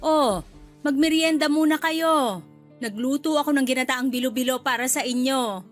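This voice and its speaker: A woman's voice: high-pitched, normal speed, soft background music, natural voice. An actress playing a caring, hospitable mother in a Filipino radio drama, with an attentive, motherly manner. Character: caring, hospitable mother serving homemade snacks. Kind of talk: Tagalog radio drama dialogue.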